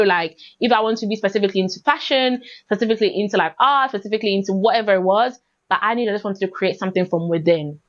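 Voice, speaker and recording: A young British woman speaks with a mid-pitched voice as a podcast host. She tells personal stories casually and conversationally, repeating phrases for emphasis. The audio is slightly dull and lo-fi. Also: fairly bright tone. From born in Ghana, raised in the UK from age six.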